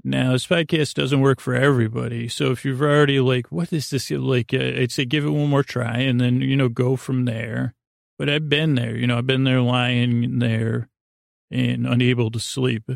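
Male sleep-podcast host, creaky mid-range voice, lulling and meandering delivery, very dry close recording. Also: medium pitch, little pitch movement, dry recording. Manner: mellow, lulling, halting with many tangents. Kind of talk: rambling, meandering bedtime monologue.

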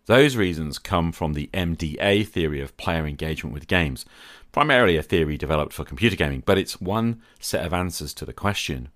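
Deep-voiced man hosting a podcast. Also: a bit bright, no echo, studio quality. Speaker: podcast host. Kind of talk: reflective scripted podcast monologue.

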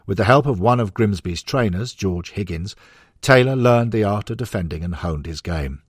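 A man's voice: lowish voice, animated, normal speed, husky, no room sound, studio quality. A man narrating a non-fiction sports history book in a scripted audiobook reading.

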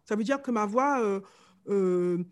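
A middle-aged woman from Martinique with a light Martinican accent, a vocal coach telling stories in a casual interview, animated and fond of mimicking voices. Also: medium pitch, a little slow. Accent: light Martinican French.

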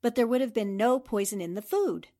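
A woman acting a sharp, confident newspaper reporter in a 1940s-style radio drama, speaking in a crisp, assured way. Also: a little high, brisk, lightly acted. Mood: confident deduction. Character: sharp, confident female newspaper reporter turned amateur sleuth.

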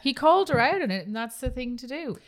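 A woman's voice: high voice, lively intonation, fast. A woman co-host in casual podcast chat, giving blunt opinions.

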